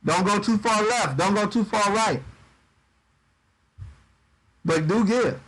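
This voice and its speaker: A man giving livestreamed Bible teaching in a relaxed preaching style, reading scripture aloud and riffing on it, often repeating words as he goes. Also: high-pitched, slow, low-quality recording. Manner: conversational preaching, reads scripture aloud and riffs on it; uses Southern-style 'y'all', stumbles and repeats words. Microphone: livestream microphone.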